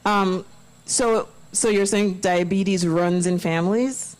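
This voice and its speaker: A woman's voice: medium pitch, slow, darkish tone, rough recording. A woman interviewer talking conversationally, reasoning aloud step by step in a reflective manner.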